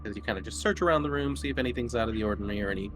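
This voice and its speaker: A man running a tabletop horror game, narrating casually and haltingly as he feels out each description. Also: on the low side, background noise. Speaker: game master.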